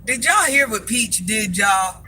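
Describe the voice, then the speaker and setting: A high-pitched man talks to his livestream viewers, chatty and easily sidetracked. He calls himself granny and greets people by name. Steady car noise hums under his voice. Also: measured pace. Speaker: livestream host. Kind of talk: casual live chat with viewers.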